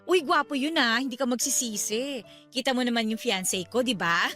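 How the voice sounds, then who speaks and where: Bubbly young woman matchmaker, radio drama. High, bright, coaxing, emphatic. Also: moderate pace, faint music behind. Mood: persuasive, boastful. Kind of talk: Tagalog radio drama acting.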